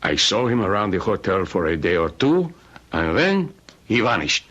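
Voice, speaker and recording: A polite, fussy hotel desk clerk in a radio play, with a low voice that moves in lively swoops. He is formal and deferential, often hesitating, on a dull, lo-fi recording. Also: moderate pace, exaggerated voice. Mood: obliging, careful. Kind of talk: old-time radio drama acting.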